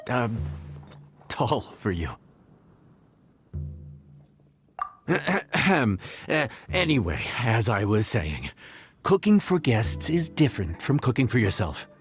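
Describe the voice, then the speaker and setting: An actor playing an affable, patient inn cook who explains things in an encouraging, folksy way in a video game. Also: male voice, mid-range voice. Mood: sheepish. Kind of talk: video game cutscene acting.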